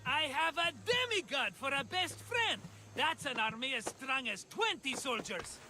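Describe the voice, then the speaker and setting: A man playing an excitable, scheming merchant in a video game, chatty, dramatic and wheedling. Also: very high voice, unhurried, background noise. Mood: scheming, excited. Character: excitable scheming cheerful male merchant, always broke. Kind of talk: video game cutscene acting.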